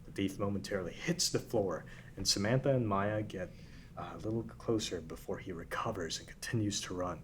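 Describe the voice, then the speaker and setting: A man's voice: low-pitched, fairly quick. A man narrating a script, reading out scene headings and stage directions. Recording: background room noise.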